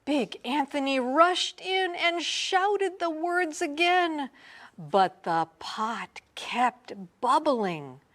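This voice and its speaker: A woman reading a children's storybook aloud in an expressive, theatrical way, sometimes dropping to a hush. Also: sits high. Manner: expressive, theatrical, drawn-out phrasing with hushed drops. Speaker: storybook reader. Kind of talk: dramatic children's read-aloud narration.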